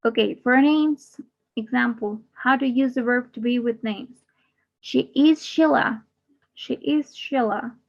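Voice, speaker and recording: A woman's voice: on the high side, slow pace, creaky. A woman teaching an English grammar class, repeating each example and then translating it. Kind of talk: bilingual grammar lesson. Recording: muffled lo-fi audio.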